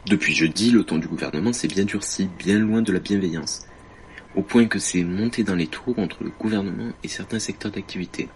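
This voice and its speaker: A man reading a political editorial on the radio in a measured, critical, deliberate way. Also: deep voice, a little hiss or hum.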